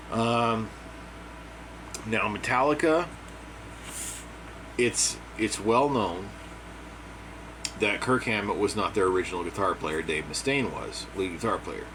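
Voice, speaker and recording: A man with a hoarse, dry voice giving a casual, opinionated monologue as a music commentator, plain-spoken and repeating his points. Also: deep voice. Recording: air conditioner hum behind.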